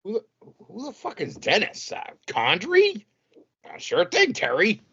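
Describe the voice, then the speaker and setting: A man's voice: sits high, wildly swooping pitch, a little slow, gravelly, pushed and bright, husky, highs cut off, full character voice. A man acting in a comedic audio play as a wheedling, easily exasperated city wrestling promoter who stammers. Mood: baffled.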